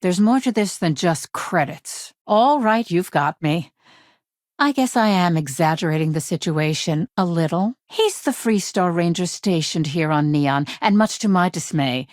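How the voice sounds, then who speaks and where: A woman's voice: on the low side, no echo, clean studio sound. A woman playing a stern, weary middle-aged corporate legal executive in a video game, curt and businesslike. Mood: weary, exasperated. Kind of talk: video game dialogue voice acting.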